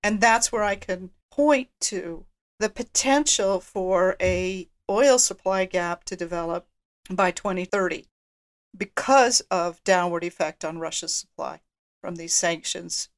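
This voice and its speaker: A woman speaking as a research executive guest on a podcast, explaining in a brisk, businesslike way. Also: fairly low pitch. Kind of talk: podcast discussion.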